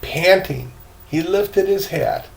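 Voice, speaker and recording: An older man with a gravelly, creaky voice reads a storybook like a grandfather at home. He goes very slowly and haltingly, with playful, self-mocking asides in a folksy, casual style. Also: high-pitched, rough recording. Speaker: grandfather reading a book aloud.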